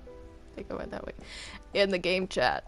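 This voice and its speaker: A woman's voice: fairly low pitch, very animated, normal speed, faint music behind. A woman chatting casually while playing a game, hesitant and stammering, often sounding apologetic. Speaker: gamer playing a multiplayer game.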